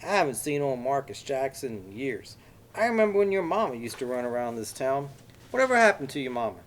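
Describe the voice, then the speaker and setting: A man voicing an older graying man with an exaggerated Texas accent, folksy and courteous but quietly threatening. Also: medium pitch, sing-song, fairly quick, voice pushed up, lightly acted. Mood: polite menace. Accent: exaggerated Texas drawl.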